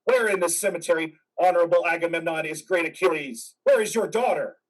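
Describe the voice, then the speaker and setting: A man performs a war veteran soldier consumed by anguish and vengeance in a stage drama. His voice sits fairly high and has a husky edge. It is picked up a little way from the microphone. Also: brisk, distant mic, lightly acted, low-quality recording. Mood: bitter, accusing. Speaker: amateur actor. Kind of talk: dramatic play reading.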